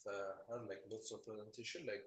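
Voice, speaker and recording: Man, lowish voice, halting and filler-heavy; muffled call mic in a roomy space. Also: level tone. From Ethiopia. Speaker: webinar participant asking questions. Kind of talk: questions on a video call. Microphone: video call, faint and muffled.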